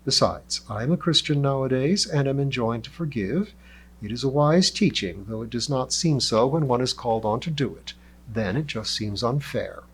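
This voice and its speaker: A man voicing an ancient elf who speaks in a calm, formal and somewhat condescending way. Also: medium pitch, husky. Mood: lecturing. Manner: measured, formal and lecturing.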